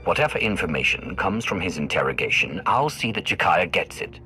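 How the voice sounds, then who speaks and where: A man's voice: low voice, big pitch swings, bright, forceful tone, soft background music, exaggerated voice. A man playing a coolly menacing Sith in a video game, speaking with controlled authority. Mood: commanding. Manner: controlled, authoritative, measured threats. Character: commanding, coolly menacing male Sith agent. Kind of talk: video game cutscene acting.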